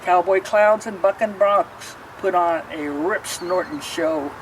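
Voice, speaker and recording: A woman reading a rhyming picture book aloud, pausing to explain the pictures. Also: lowish voice, distant mic, a little hiss or hum, rough recording. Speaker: storybook reader.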